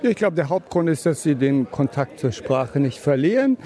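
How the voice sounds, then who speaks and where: A father answers interview questions with a warm, mellow voice pitched a little high. He thinks aloud and sometimes stops to restart a sentence. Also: sing-song, moderate pace. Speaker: interviewed parent.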